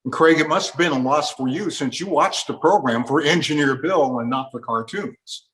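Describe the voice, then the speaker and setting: A man's voice: fairly high pitch, normal speed, a bit bright. A man hosting an interview, posing questions and offering recollections in a conversational way.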